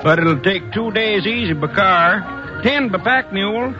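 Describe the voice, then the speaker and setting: A high-voiced rustic Westerner in a radio drama. He is chatty and folksy, with an American West drawl. The old broadcast sounds muffled, with music behind. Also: lively intonation, measured pace. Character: folksy rustic Western airfield hand, chatty and slow-witted. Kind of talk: 1950s radio acting.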